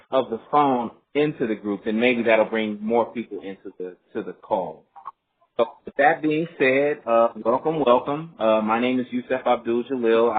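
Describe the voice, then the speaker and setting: A man hosting a group phone call, talking casually and politely in a halting, explanatory way. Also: medium pitch. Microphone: telephone conference line. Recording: muffled phone line with clear noise, faint.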